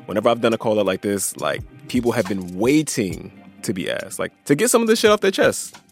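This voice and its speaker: A man co-hosting a podcast in a casual, curious, relaxed chat. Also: fairly low pitch, a little fast, creaky, faint music behind. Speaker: podcast co-host.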